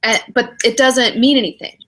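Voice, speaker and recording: A woman's voice: fairly high pitch, steady tone, normal speed, sharp tone. A middle-aged woman, a spiritual director hosting a casual podcast chat, reflective and acting out her own inner thoughts as she talks.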